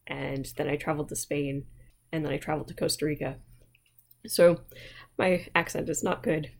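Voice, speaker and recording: An American woman with a low voice and plenty of gravelly fry hosts a relaxed online library session. She talks in a chatty, friendly way, full of little asides and fillers, as if speaking straight to her webcam. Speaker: library program host. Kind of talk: casual online library talk.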